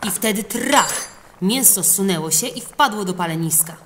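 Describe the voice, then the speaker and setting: A woman reading a children's legend as expressive storybook narration. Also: medium pitch, moderate pace, slight background noise. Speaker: audiobook reader.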